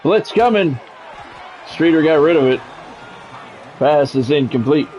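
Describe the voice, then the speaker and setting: A man's voice: fairly high pitch, lively intonation, unhurried, a little mellow, a faint crowd, a little hiss or hum, low-quality recording. A middle-aged man commentating a sports video game in radio play-by-play style, with short clipped calls and casual, salty asides. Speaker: gaming streamer and play-by-play commentator.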